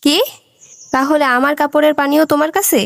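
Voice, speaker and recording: A woman voicing a curious, expressive young woman in an educational cartoon, speaking colloquially with questions and exclamations. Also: high-pitched, normal speed, creaky, no echo. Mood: curious, amazed.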